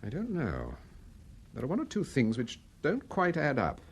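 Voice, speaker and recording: Suave unflappable detective, polite and probing, slightly rough voice, really lively intonation, radio drama. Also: male voice, mid-range voice, normal speed, exaggerated voice. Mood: brisk, thoughtful. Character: suave, courteous, unflappable gentleman amateur detective.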